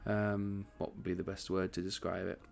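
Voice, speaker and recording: A man hosting a strategy game commentary, chatty and rambling, with a croaky morning voice. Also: deep voice, level tone, moderate pace, darkish tone, faint music behind. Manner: chatty, rambling, self-correcting. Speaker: gaming channel host.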